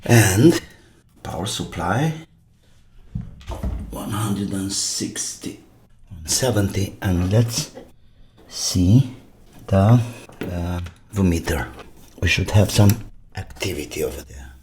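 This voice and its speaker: A man thinking aloud while he repairs electronics, muttering to himself in short fragments with pauses. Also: sits low, animated, a little mellow, a little hiss or hum. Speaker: electronics repair video maker.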